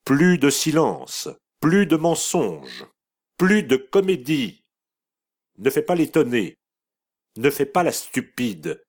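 A man's voice: sits low, expressive, a little slow, breathy edge, exaggerated voice. A man reading an audiobook voices a harsh, imperious mother turned accuser, speaking in a cold, relentless, commanding way. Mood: accusing.

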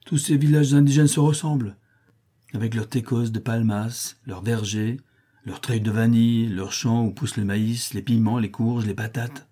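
A carefree young Mexican companion in an adventure tale, voiced by a male narrator. He is affectionate and lively, with a husky, soft-toned voice. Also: mid-range voice, moderate pace, natural voice.

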